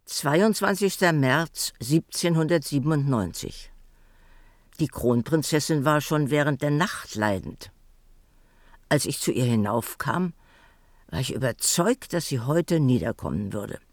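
A man's voice: on the high side, expressive, unhurried, dry recording, studio quality. A man playing an attentive, caring court diarist, expressively reading old-fashioned diary entries in a radio drama. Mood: pleased.

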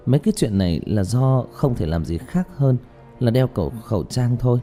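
A man's voice: medium pitch, expressive, brisk, mellow, faint music behind, lightly acted. A man voicing a polite, hesitant young female singer in an audiobook story, with an earnest manner. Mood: apologetic, explaining. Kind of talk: audiobook character dialogue.

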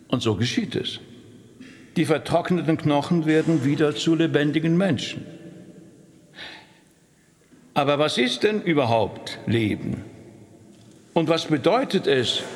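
Earnest rabbi, reverberant. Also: male voice, on the high side. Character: harried, apologetic male rabbi who also preaches. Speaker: actor. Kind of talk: radio drama acting.

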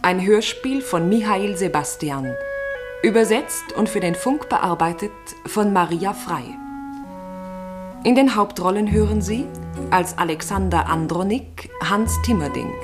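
Female announcer, unhurried delivery, medium pitch, formal scripted reading, prominent music behind. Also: animated. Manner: measured, formal. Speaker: radio play announcer. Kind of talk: scripted announcement of title and cast.

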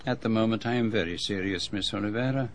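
An actor playing a shrewd, courteous middle-aged gentleman detective with a French-speaking European accent, in formal, precise phrasing for radio drama. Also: lowish voice, fast, a little hiss or hum, lightly acted. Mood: polite, grave. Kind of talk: radio drama dialogue and narration.

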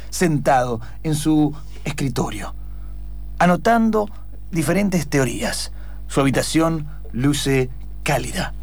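A man narrating an improvised radio play in a storytelling style. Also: on the high side. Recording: soft guitar music under the voice.

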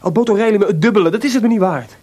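An actor playing a proud, jealous composer with a sarcastic, theatrical delivery in a radio play. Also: male voice, high-pitched, racing, mellow, slightly rough, no room sound. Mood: defensive, agitated. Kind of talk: radio drama acting.

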